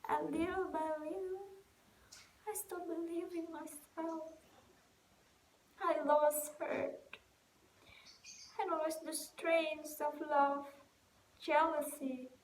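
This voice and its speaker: A woman playing a weary, distraught young stage actress in an emotional, halting theatrical monologue. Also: high voice, fairly even intonation, pitched above the performer's own voice. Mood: agitated, despairing. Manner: halting, emotional, swinging from hushed to loud. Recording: echoey room with noticeable background hiss.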